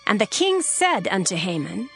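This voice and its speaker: A woman narrating a dramatized scripture reading in a measured, solemn way. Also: medium pitch, sing-song, gravelly, soft background music.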